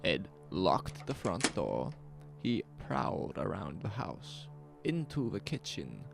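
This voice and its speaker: A man's voice: deep voice, wildly swooping pitch, slow pace, gravelly, over music. A man narrating a scripted horror story in a grim, dramatic manner.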